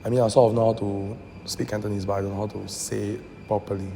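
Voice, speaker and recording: Gravelly, mellow young man. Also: fairly low pitch, little pitch movement, fast talker, a little hiss or hum, low-quality recording. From Singapore. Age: young adult. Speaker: design student interviewee. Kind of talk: casual interview answers.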